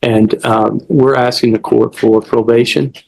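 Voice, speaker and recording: Male defense attorney, medium pitch with noticeable fry, conducting courtroom questioning. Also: fairly even intonation, measured pace, darkish tone, rough recording.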